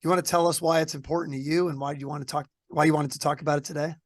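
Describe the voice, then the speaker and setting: A man's voice: fairly high pitch, level tone, racing, dry recording, clean studio sound. A male podcast host with a scratchy voice from a cold, chatting casually and upbeat with many fillers. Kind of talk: conversational podcast interview.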